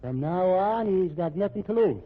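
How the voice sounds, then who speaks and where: An actor playing a talkative, confident Irish police officer with folksy, ungrammatical speech in an old radio drama. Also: male voice, high-pitched, animated, normal speed. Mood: assured, matter-of-fact. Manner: folksy, ungrammatical, blunt talk. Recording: muffled, narrow-band old radio recording.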